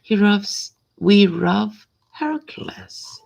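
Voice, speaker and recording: A woman reading a simple story aloud in a halting, hesitant way, often repeating words. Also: mid-range voice, lively intonation, vocal fry, a little mellow. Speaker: language learner reading aloud.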